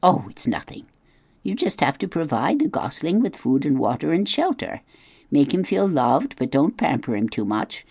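A woman voicing a blunt, suspicious old female goose in an audiobook, talking in a brisk, scolding way. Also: deep voice, vocal fry, warm tone, slightly rough, dry recording, clean studio sound, full character voice. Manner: brisk, scolding, matter-of-fact. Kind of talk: audiobook reading.

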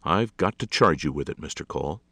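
Man playing a stern, gravelly police inspector. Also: very deep voice, lively intonation, brisk, pushed and bright, no echo, heavily acted. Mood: firm. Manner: firm, clipped, snapping. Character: stern, forbidding gray-haired male police inspector, coldly official.

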